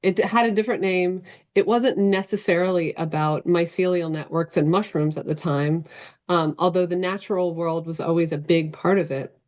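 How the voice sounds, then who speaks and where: Lower-pitched woman, informal interview guest. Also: fairly even intonation, normal speed, darkish tone. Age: middle-aged. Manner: informal, loosely structured, self-correcting. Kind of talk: casual interview answer. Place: indoors on a video call. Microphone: video call microphone.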